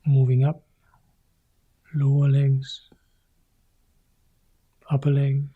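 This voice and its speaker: A man leading a guided meditation as a teacher, speaking calmly in short, sparse phrases with long pauses, in a soft, husky, breathy voice. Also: medium pitch, phone-quality sound. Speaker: meditation teacher guiding a practice.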